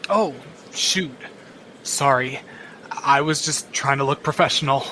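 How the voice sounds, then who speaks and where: An actor playing a nervous young office temp in a radio play, hesitant and halting. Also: male voice, medium pitch, pushed and bright, a little hiss or hum. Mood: awkward.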